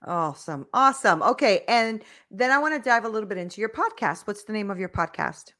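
A woman hosting an interview podcast, encouraging her guest with steady affirmations and asking questions. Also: mid-range voice, a little fast. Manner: encouraging, frequent affirmations, preachy asides. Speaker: podcast host. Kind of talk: casual interview talk.

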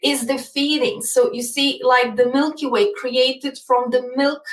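A woman's voice: high-pitched, fairly even intonation, measured pace. Polish woman, echoey far-off sound. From Poland. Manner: repeats words, earnest explaining. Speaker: guest. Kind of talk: casual interview talk.

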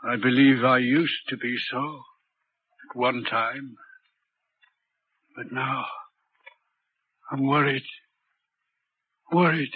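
An actor in a 1940s radio drama playing a lonely, brooding railway signalman who speaks in a grave, deliberate, halting and courteous way. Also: medium pitch, steady tone, lightly acted. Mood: troubled, hesitant. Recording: dull, noisy 1940s radio recording.

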